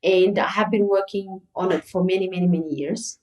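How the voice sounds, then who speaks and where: A woman's voice: on the low side, fairly even intonation, fairly quick, a little mellow, reverberant. A middle-aged woman from Ethiopia who runs a circus, answering interview questions earnestly and repeating words for emphasis. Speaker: circus founder and guest.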